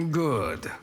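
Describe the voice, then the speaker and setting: Sly sorcerer with swooping delivery. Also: male voice, on the high side, bright, forceful tone, exaggerated voice. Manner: sardonic, insinuating. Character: sly, scheming male sorcerer, bitter and mocking. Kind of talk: video game character dialogue.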